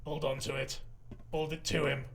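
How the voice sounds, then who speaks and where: Man acting a very gravelly, hard-nosed marshal. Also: a little high, little pitch movement, moderate pace, background noise. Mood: cold threat. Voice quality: gravelly, growling. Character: hard, hot-tempered male marshal interrogating a suspect. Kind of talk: noir audio drama acting. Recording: faint music behind the voice.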